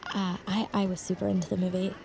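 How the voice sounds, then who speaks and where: A woman's voice: medium pitch, little pitch movement, quick pace, creaky, darkish tone, slight background noise, natural voice. An actress playing an evasive, uneasy teenage girl who talks hesitantly and stammers, in naturalistic audio drama. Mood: awkward, evasive. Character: evasive, uneasy teenage girl, incoming high school freshman.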